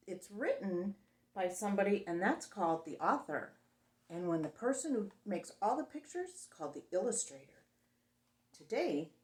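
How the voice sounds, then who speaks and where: A woman's voice: on the low side, darkish tone, echoey room. A woman hosting story time, reading a picture book expressively and explaining things simply for young children.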